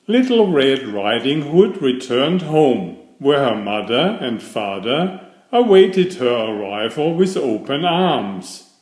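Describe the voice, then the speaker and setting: Slow, expressive male storyteller in echoey room. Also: medium pitch. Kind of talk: read-aloud fairytale narration.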